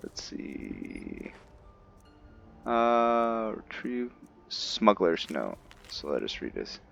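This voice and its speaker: A man's voice: deep voice, huge pitch swings, very slow, gravelly, fairly bright tone. A man giving casual gameplay commentary, thinking aloud hesitantly and chatting to his viewers. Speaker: host of a gameplay roleplay series. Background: background music.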